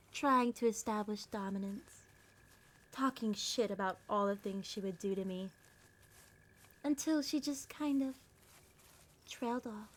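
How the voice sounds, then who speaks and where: Woman playing a slow-talking, haughty vampire girl. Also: fairly high pitch. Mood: smug, amused. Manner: condescending, teasing, slow hypnotic murmurs and whispers. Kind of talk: ASMR roleplay.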